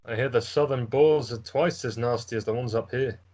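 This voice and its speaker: A man's voice: medium pitch, a little fast, darkish tone, lightly acted. A man playing a grim, careworn, loyal lord in a script table read, with a grave, understated manner. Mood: reserved, loyal.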